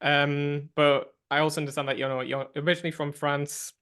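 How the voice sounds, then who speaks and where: A man's voice: a little high, level tone, fairly quick, a bit bright, clean tone. A man hosting a podcast interview, speaking hesitantly with many fillers. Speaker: podcast host.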